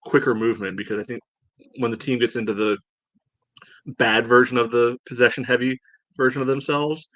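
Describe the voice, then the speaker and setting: A man chatting casually as a sports podcast host, breaking down play in a halting, rambling way full of verbal padding. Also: mid-range voice, steady tone, a bit bright, no echo. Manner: halting, rambling delivery peppered with "you know". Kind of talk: casual sports analysis chat.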